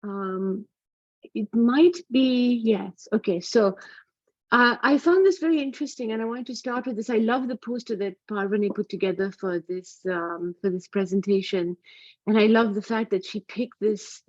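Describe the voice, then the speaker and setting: A woman's voice: mid-range voice, darkish tone, no echo. Woman, middle-aged, academic lecture, hesitant, many ums, muffled dry call audio. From Pakistan. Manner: hesitant, many fillers and restarts. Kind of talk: online academic talk. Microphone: computer mic on a video call.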